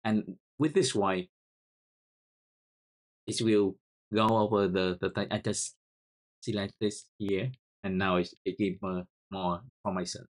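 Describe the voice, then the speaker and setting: A man with a Vietnamese accent walks through a coding tutorial in a lowish voice. His delivery is halting and he often repeats words as he types. He speaks English as a second language. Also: steady tone. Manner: halting, repeats words while typing key commands. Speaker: software tutorial host. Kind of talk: screen-recorded coding tutorial.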